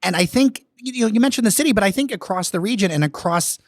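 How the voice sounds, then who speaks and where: A man's voice: sits high, lively intonation, quick pace, dry recording, studio quality. A man hosting a podcast, chatty and full of fillers in a casual interview. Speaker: podcast host.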